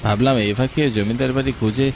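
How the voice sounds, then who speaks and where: A man's voice: mid-range voice, fairly even intonation, a little fast. A man reading a scripted first-person story as its narrator. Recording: clearly noisy, rain and thunder behind. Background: rain and thunder sound effects.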